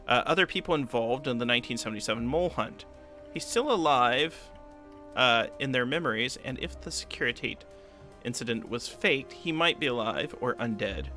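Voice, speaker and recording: Bright-voiced man prepping a game. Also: medium pitch. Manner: thinks aloud, reads long passages, hums to himself. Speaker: game master prepping a campaign. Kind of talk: casual solo prep stream, reading rules aloud. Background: background music.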